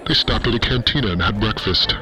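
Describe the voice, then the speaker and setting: A man's voice: fairly low pitch, quick pace, music in the background, close to an everyday voice. A man playing a brooding young space villain, solemnly narrating a radio-style ghost story with self-importance. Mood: solemn, spooky.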